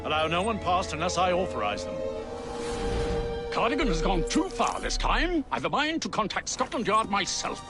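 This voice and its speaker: Stern bossy victorian gent in a game, voice up high and lively, music blaring under it. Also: male voice, normal speed. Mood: stern, indignant. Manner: commanding. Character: stern, indignant male Victorian gentleman in authority. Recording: loud music over the voice.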